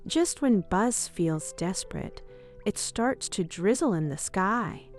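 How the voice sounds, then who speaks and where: A woman reading a scripted children's bedtime story in an expressive read-aloud manner. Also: medium pitch, slow pace. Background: music.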